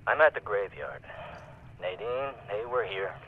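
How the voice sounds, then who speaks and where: Impatient treasure-hunter villain, exasperated, heard over a thin walkie-talkie with lowish pitch. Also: male voice, big pitch swings, a little slow. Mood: urgent, irritated. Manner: urgent, exasperated. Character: impatient male treasure-hunting villain on radio. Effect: walkie-talkie radio filter. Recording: narrow, tinny radio link, faint noise.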